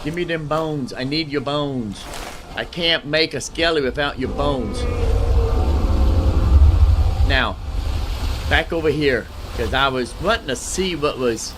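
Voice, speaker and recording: A man with a somewhat high voice chats casually as he plays a video game. He thinks out loud, wandering along and circling back to repeat phrases. There is clear, steady background noise under his voice. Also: takes it slowly, pauses often. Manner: rambling, thinking aloud, often repeats phrases. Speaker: gaming streamer. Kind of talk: casual solo gameplay commentary.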